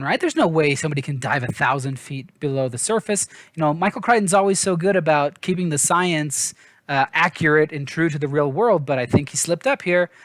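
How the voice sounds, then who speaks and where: A man's voice: a little high, a bit bright, no echo, clean studio sound. A man giving a casual book review in an informal, chatty, self-deprecating way.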